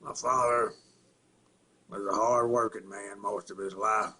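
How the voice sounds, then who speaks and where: A man acting a simple-minded rural Southern man in a halting, plainspoken dramatic film monologue, with a throaty rasp and grunts. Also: on the low side, steady tone, pushed and bright, exaggerated voice. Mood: flat, matter-of-fact. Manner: halting, repetitive, plainspoken, folksy.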